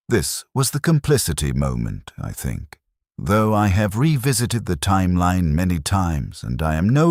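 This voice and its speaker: A man's voice: a bass voice, a little mellow, dry recording, studio quality, exaggerated voice. A man narrating as a guilt-burdened soldier in a war story, speaking in clipped, formal, detached sentences like a report. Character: guilt-burdened soldier, a logistics corporal, confessing coldly. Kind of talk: scripted first-person war story narration.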